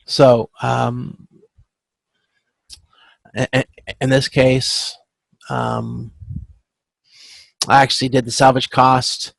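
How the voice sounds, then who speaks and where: A male instructor with a creaky, gravelly voice walks through material informally. He speaks at a middling pitch, stops and corrects himself, and often checks for agreement. The sound is dry and close, with very little room in it. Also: little pitch movement, no echo. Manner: halting, self-correcting, often checking with "okay?". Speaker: instructor teaching supply chain management. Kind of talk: informal lecture walking through spreadsheet calculations. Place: online video conference with screen share.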